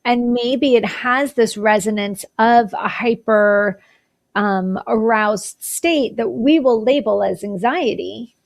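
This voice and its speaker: Woman, podcast host, fairly high pitch, explanatory, clinical wording. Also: slow, creaky. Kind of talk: interview hosting.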